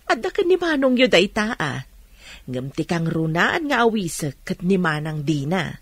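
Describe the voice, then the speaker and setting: A woman acting a kind, patient mother who gently counsels, in Ilocano radio drama style with a Filipino accent. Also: fairly low pitch, very animated, normal speed, slightly rough, dry recording. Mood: patient, counselling.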